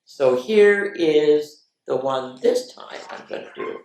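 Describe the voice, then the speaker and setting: A woman's voice: low voice, expressive, takes it slowly, a little mellow, a little hiss or hum. A woman hosting a children's story time, reading picture books aloud and adding chatty little asides. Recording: echoey, roomy sound.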